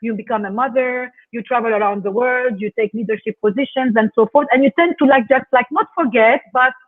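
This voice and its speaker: A woman who grew up in Lebanon talks in an earnest, measured way, choosing her words with care. Her voice comes through thin and narrow, like a phone line, as she speaks on a video call. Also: on the high side. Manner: earnest, measured, careful phrasing. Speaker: guest speaker. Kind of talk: reflective personal talk on a video call. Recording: tinny phone-like call audio.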